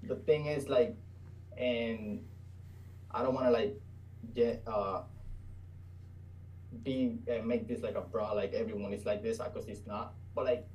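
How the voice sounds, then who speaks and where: A young man speaks as a podcast guest. His voice is mellow and dark and sounds a little far from the mic. He often pauses and hunts for the right words. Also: medium pitch, slight background noise. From Puerto Rico. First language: Spanish. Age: 20s. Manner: hesitant, searching for words. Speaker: guest trader. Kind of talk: casual interview.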